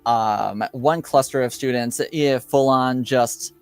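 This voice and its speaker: A man improvising tabletop game narration as game master, in a hesitant, halting way with long pauses. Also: mid-range voice, clear, resonant tone, no echo, soft background music.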